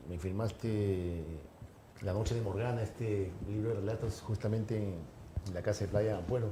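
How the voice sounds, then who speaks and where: A man's voice: fairly low pitch, fairly even intonation, measured pace. A man interviewing a writer, asking long, rambling questions full of tag questions. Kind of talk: conversational interview. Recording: roomy, with clear background noise.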